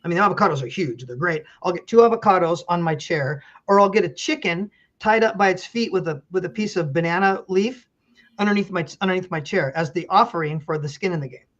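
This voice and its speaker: A man's voice: high-pitched, quick pace, a bit bright, dull audio. A middle-aged man, a pastor and county official, speaking earnestly as an interview guest and telling stories with many restarts. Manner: earnest, storytelling, frequent restarts.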